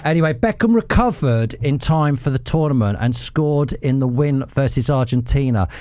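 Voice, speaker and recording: A male quiz show host with a mellow, relaxed voice. He reads out football questions and trades banter in a playful, mock-officious way, keeping a medium pitch. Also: normal speed, dry recording, studio quality.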